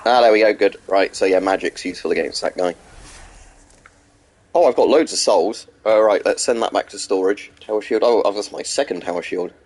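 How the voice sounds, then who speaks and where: Low-voiced man rambling through a game stream. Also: fairly quick, a little mellow. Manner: rambling, answers chat questions between gameplay remarks; hesitant, many ums and restarts. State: sore throat and blocked nose. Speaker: gaming streamer. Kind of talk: casual live-stream commentary while playing.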